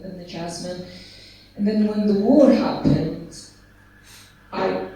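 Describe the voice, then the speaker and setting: A woman from Syria, an illustrator and author, talking slowly and haltingly about her work on a conference panel. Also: medium pitch, mellow, slight background noise. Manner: slow, halting, repeats words. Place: conference hall. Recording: echoey hall, distant mic.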